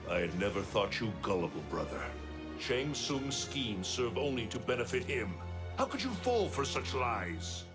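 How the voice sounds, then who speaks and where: An actor playing a proud, distrustful thunder god in a video game, declaiming formally and imperiously. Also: male voice, mid-range voice, very animated, moderate pace, natural voice. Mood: scornful. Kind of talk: dramatic video game acting. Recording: loud music behind.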